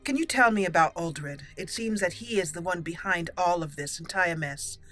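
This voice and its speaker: Bright-voiced, resolute woman as a young elf mage. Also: on the low side, faint music behind, lightly acted. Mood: inquisitive, formal. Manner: deliberate, formal, solemn. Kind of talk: improvised video game roleplay.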